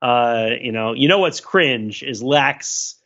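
A man's voice: mid-range voice, slow pace, gravelly, pushed and bright, lo-fi sound. An American man co-hosting a podcast, riffing in a rambling, casual, joking way over a call. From United States. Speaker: podcast co-host. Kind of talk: casual, joking group discussion.

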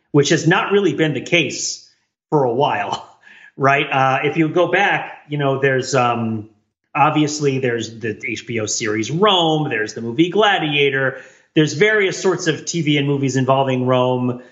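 A man's voice: mid-range voice, fairly bright tone. An American man from New Jersey co-hosting a podcast in casual, conversational discussion. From New Jersey, United States. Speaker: podcast co-host. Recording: dull lo-fi voice track.

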